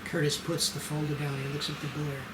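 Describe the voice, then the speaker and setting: A man's voice: fairly high pitch, completely flat delivery, a little fast, echoey room, noisy background. A man reading stage directions as the narrator of a screenplay table read.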